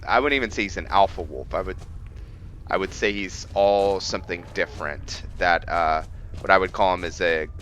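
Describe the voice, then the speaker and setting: A man giving casual gameplay commentary and explaining character lore, haltingly thinking aloud as he goes. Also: deep voice, a bit bright, slight background noise. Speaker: gameplay host and role-player.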